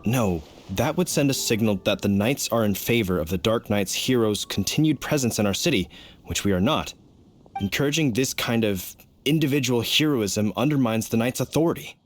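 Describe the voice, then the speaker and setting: A man's voice: medium pitch, lively intonation, moderate pace, a little hiss or hum, natural voice. An actor playing an earnest, dutiful knight in a video game, formal and explanatory in his manner. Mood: troubled. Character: earnest, dutiful male city knight, stiff and official. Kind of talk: video game character acting.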